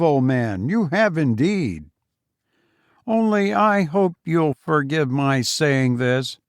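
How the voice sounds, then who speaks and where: A man's voice: fairly high pitch, no echo, studio quality. A man voicing a smug, patronizing, chatty English writer with a gushing, falsely modest manner in an audiobook story. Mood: patronizing, effusive. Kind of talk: acted dialogue in a literary audiobook.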